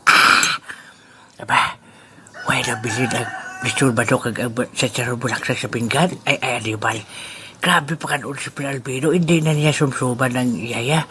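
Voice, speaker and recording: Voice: medium pitch, fairly even intonation, noisy background, lightly acted. A performer voicing a grumbling, aggrieved old man in an amateur radio play. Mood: tipsy, grumbling. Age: over 60. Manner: complaining and mournful, quick to anger. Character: grieving, grumbling old man robbed of his pots.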